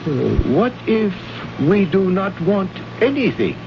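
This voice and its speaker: An actor playing a courteous, guarded alien native in an old radio drama, speaking in formal, precise phrases without contractions. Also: male voice, sits high, very animated, slow, vocal fry, exaggerated voice. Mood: guarded, wary. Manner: formal, precise, uncontracted speech. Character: courteous, guarded male alien native, innocent yet shrewd. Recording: noisy, muffled old radio recording.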